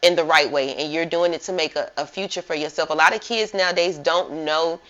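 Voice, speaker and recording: Lady host hyping up her guest, bright voice, lowish pitch, kinda muffled audio. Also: brisk. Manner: upbeat, encouraging, says like a lot. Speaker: interview host. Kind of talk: casual chat.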